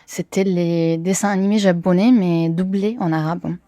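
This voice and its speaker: A low, mellow-voiced woman in her late twenties speaks with a Syrian Arabic accent, in a language that is not her first. She gives casual interview answers, hesitantly feeling for words, in a dry, close sound. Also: fairly even intonation, normal speed, no room sound. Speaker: interviewee, theatre performer and film worker.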